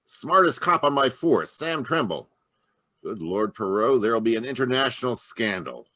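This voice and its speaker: An actor playing an agitated, blunt police inspector in a 1940s-style radio play. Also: male voice, medium pitch, animated, normal speed, bright, forceful tone, no room sound, studio quality. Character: harried middle-aged male police inspector.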